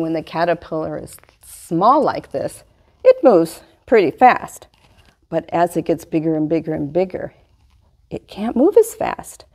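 A middle-aged woman giving a lively science lesson to young children, filmed indoors by a fixed camera. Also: mid-range voice, creaky. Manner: animated, speaks to young kids. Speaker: extension master gardener presenter. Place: small indoor room before a wall display.

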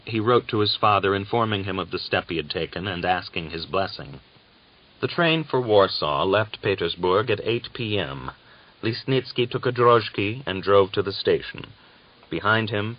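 Deep-voiced male audiobook narrator, slightly muffled. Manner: measured, deliberate literary delivery. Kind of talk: dramatic scripted novel narration. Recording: dull, lo-fi audiobook recording.

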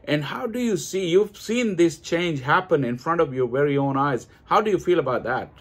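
A man's voice: a little high, animated, moderate pace, breathy edge. A middle-aged man hosting an online interview, asking questions in a formal, polite way. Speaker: host. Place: indoors, room with curtains.

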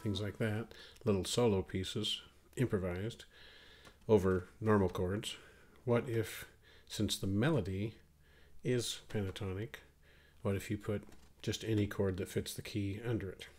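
A middle-aged man with a cold, explaining guitar arranging in a relaxed, thinking-aloud way. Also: on the low side, a little slow. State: has a cold. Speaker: guitar teacher. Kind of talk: casual guitar tutorial. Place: small home music room, indoors. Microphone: studio mic on stand, wearing headphones. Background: acoustic guitar playing.

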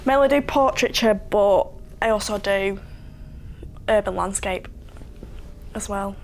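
A nineteen-year-old woman, chatting and laughing through a relaxed home interview. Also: mid-range voice, a little slow, a little hiss or hum. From Leyland, Lancashire, England. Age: young adult. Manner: chatty, giggly, jokey. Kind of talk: casual interview chat. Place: living room at home, indoors.

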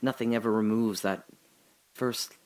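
A man performing a reflective audio drama monologue, speaking slowly and often dropping to a whisper. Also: lowish voice, fairly even intonation, darkish tone. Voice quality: slow, gravelly and husky, often dropping to a whisper. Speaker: radio drama narrator and lead.

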